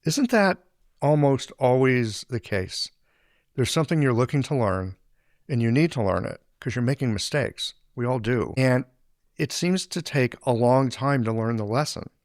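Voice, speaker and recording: A man hosting a podcast interview, reflective and relaxed in his questions. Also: medium pitch, moderate pace, no echo, studio quality. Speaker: podcast host. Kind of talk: interview conversation.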